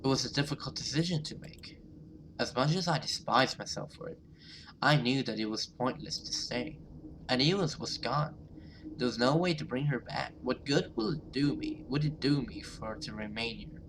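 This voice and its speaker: A man's voice: mid-range voice, moderate pace, background noise, close to an everyday voice. Man reading as an anxious horror-game survivor. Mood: regretful. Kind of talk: horror visual novel read aloud.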